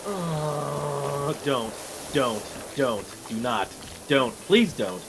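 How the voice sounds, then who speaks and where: A man's voice: medium pitch, extremely slow, background noise. A man bantering casually as a game streamer, dramatically exasperated and sweary.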